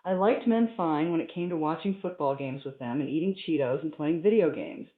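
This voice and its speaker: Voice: medium pitch, expressive, fairly quick. Echoey, phone-like voice reading a comic story. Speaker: reader of a humorous story. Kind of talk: scripted comic first-person narration, read aloud. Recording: echoey room, thin phone-like sound.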